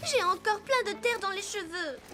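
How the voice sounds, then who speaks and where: A woman's voice: very high voice, animated, normal speed, music in the background. A woman voicing a suspicious, haughty young girl in a dubbed cartoon, speaking in a pointed, accusatory way. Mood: peeved. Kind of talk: dubbed animated series acting.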